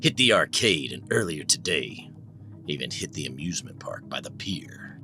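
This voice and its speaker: A very low-voiced, worn-down private detective played by a man, brooding and irritable. He speaks in terse, sardonic mutters in the manner of a noir radio play, with music underneath. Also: expressive, moderate pace, bright, forceful tone, heavily acted. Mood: weary, worried. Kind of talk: noir audio drama acting.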